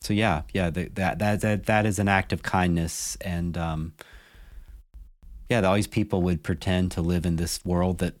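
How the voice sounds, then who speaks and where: A man's voice: low voice, steady tone, normal speed. A man co-hosting a podcast in casual chat, rambling and playful with many restarts. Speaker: podcast co-host.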